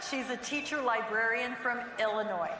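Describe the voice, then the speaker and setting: A woman's voice: on the high side, moderate pace, heavy background noise. Woman moderator heard from afar. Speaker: forum moderator. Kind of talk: reading audience questions. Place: large event hall. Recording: distant mic in a loud crowded hall.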